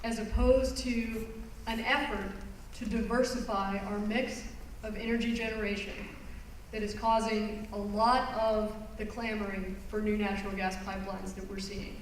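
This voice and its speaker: A woman giving a public presentation, explaining her subject earnestly and pausing on phrases for emphasis. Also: medium pitch, flat delivery, a little hiss or hum. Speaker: environmental lawyer giving a talk. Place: conference hall. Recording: roomy hall recorded from far off.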